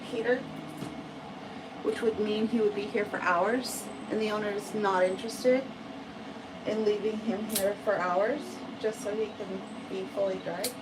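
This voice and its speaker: An American woman who grooms cats, chatting slowly through a bath demonstration and often asking "right?". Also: medium pitch, distant mic, heavy background noise. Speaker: cat groomer. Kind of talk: casual livestream grooming demo.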